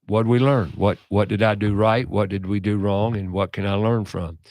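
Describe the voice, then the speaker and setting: A male radio host with a fairly low voice and a loud, passionate way of talking. He preaches his points with emphatic punches, recorded dry and close in a studio. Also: steady tone, normal speed, no room sound. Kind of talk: casual radio talk.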